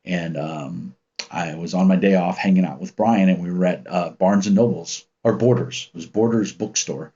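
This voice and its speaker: A man in his fifties from the United States with a deep, commanding voice, chatting casually on his livestream and telling stories in a rambling, relaxed way. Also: moderate pace, slightly rough. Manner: rambling, relaxed, with many ums and restarts. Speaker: channel host reading live chat. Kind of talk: casual livestream chat and storytelling. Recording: muffled lo-fi stream audio.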